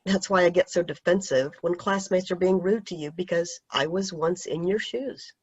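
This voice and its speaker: A woman reading a teacher's part in a staged play reading over a video call, gentle and reassuring. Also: on the low side, moderate pace. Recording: husky voice on dull lo-fi video call audio.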